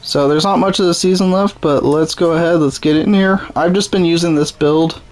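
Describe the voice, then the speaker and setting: A man's voice: on the high side, steady tone, a little fast, darkish tone. A young man with a sore, strained throat, casually commenting on his own baseball game. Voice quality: voice strained and rough. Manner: laid-back, self-deprecating. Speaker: gaming YouTuber. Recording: noisy home recording.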